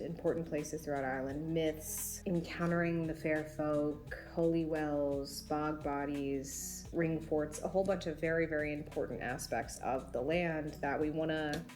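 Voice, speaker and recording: A woman's voice: deep voice, normal speed, a little mellow, faint music behind. A young woman, chatting enthusiastically about books in a casual vlog. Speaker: book vlogger.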